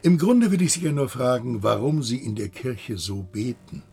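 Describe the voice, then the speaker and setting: An actor playing an agitated, inquisitive interrogator with emphatic, insistent questioning in a radio play. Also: male voice, medium pitch, expressive, moderate pace, warm tone, husky, faint music behind. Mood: indignant. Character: agitated, accusing, inquisitive male interrogator, haughty and probing. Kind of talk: German radio play acting.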